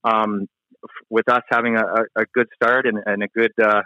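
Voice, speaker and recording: Male hockey player, hesitant conversational answers, slightly low pitch, muffled lo-fi recording. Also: little pitch movement, no echo. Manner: hesitant, halting, frequent you-knows. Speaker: professional ice hockey player being interviewed. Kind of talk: casual interview answers.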